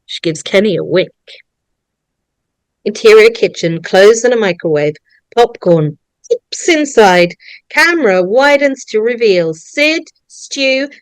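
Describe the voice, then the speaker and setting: Female narrator for a script read: unhurried, very expressive, close dry sound. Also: mid-range voice, no echo. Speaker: narrator of a staged table read. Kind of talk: reading screenplay directions aloud.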